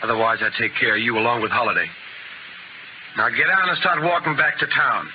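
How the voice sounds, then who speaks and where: An actor playing a cocky, menacing young rancher's son who drawls taunts, in the style of a 1950s radio western. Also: male voice, medium pitch, fairly quick, natural voice. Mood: smug threat. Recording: noisy muffled old radio recording.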